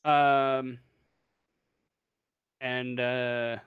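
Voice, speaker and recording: A game master improvising narration for a tabletop roleplaying game, pausing often to think. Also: medium pitch, little pitch movement, clean tone, dry recording, phone-quality sound.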